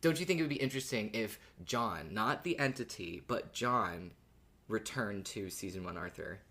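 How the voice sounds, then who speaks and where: A woman co-hosting a podcast in casual, excitable, giggly chat. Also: very deep voice, normal speed. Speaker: podcast co-host.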